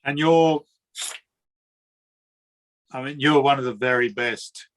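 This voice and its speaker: A man with a drawling Queensland Australian accent talks in an easygoing, matey way and keeps the chat loose. His voice sits at an ordinary middle pitch. He laughs easily and often stumbles over or repeats his words. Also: slow, fairly bright tone. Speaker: interviewer. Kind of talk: casual conversational interview.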